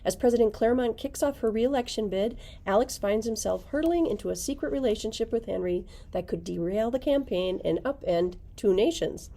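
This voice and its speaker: A woman's voice: fairly high pitch, expressive, brisk, hardly any pauses, warm tone. A middle-aged woman, a librarian, recommending books and reading their blurbs aloud to a camera in a library. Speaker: librarian presenting book picks.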